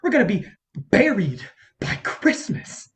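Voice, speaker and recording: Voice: medium pitch, sing-song, unhurried. An actor in a staged play reading playing an exasperated, embittered film campaign strategist, profane and sarcastic in manner. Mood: bitterly sarcastic.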